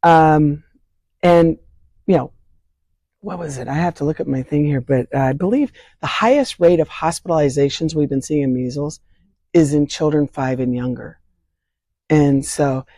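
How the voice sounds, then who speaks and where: An older woman with a hoarse voice talks through health questions in an earnest, plain-spoken way, reaching for simple analogies. Her tone is mellow and soft-edged. The sound is dry and close, with no room around it. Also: sits low, no room sound. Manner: earnest, explains with vivid analogies. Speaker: state leader of a vaccine advocacy group. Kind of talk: explanatory interview answers. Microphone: remote interview from home.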